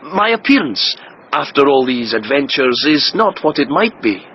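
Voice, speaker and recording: An actor playing a handsome, lovesick young king who flatters deviously, earnest and courtly in a fairy-tale audio drama. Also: mid-range voice, normal speed, dull audio, a little hiss or hum, natural voice. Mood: courtly flattering.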